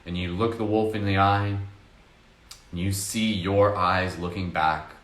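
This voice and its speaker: A man leading a slow, soft-spoken guided meditation with casual fillers. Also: low voice, fairly even intonation, reverberant.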